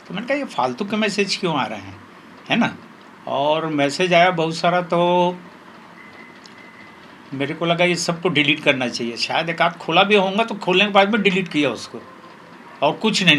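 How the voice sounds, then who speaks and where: A man's voice: fairly high pitch, sharp tone. A man giving an informal, conversational account as a news interviewee. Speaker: interviewee recounting being defrauded. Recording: faint background noise.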